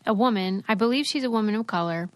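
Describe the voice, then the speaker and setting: A woman's voice: medium pitch, quick pace. A young woman co-hosting a podcast, telling stories in a chatty, emphatic way. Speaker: podcast co-host. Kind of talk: casual chat with a read ad.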